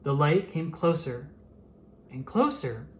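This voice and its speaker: Storyteller reading a kids book, super expressive up and down voice, bit of noise behind. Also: medium pitch, slow. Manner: animated, acts out the dialogue. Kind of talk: expressive children's picture book read-aloud.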